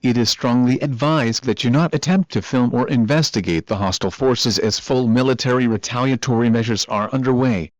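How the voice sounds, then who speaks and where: A man's voice: mid-range voice, brisk, hardly any pauses. A man reading formal, instructive emergency safety directions from a script. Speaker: emergency alert announcer. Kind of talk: scripted emergency alert announcement. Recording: dull, dry lo-fi audio.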